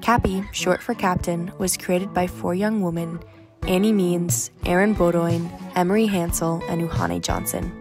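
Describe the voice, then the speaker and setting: A woman's voice: on the low side, steady tone, normal speed. A woman reading a scripted podcast introduction as its presenter. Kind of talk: scripted narration. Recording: guitar music playing behind. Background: guitar music.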